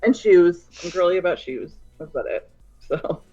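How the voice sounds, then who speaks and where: Animated, swearing woman, lo-fi call. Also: fairly low pitch, normal speed, faint music behind. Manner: animated storyteller, swears freely. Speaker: co-host. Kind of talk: casual chat. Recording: dull lo-fi sound.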